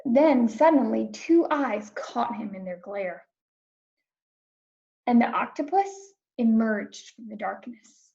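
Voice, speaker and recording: A woman reading a children's picture book aloud, narrating in a clear, expressive storytelling manner. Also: a little high, slow, dull audio. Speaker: storybook reader.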